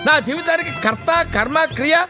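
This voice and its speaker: A man's voice: very high-pitched, moderate pace. A man playing a boastful, cowardly comic character in a Telugu film, theatrical and given to repeating himself. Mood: boastful ranting. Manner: theatrical, repeats words, exclaims. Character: blustering, cowardly comic middle-aged man, boastful and easily flustered. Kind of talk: Telugu film comedy acting. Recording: film soundtrack with loud music.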